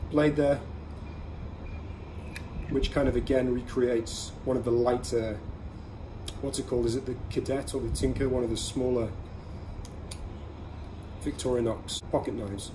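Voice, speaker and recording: A man hosting a casual product review, explaining things conversationally in short, measured phrases. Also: mid-range voice, fairly even intonation. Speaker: podcast host reviewing everyday carry products. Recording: clearly audible ambient background noise.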